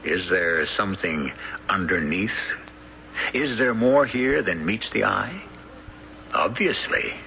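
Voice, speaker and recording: Slow, gravelly male radio drama narrator. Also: low voice, animated. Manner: measured, rhetorical, teasing suspense. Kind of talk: theatrical scripted narration. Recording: muffled radio broadcast under loud music. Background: loud music.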